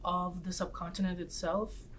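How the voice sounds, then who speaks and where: A young woman from South Asia, speaking in a measured, somewhat hesitant way in a casual discussion. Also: on the low side, little pitch movement, a bit bright, slight background noise. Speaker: student panelist.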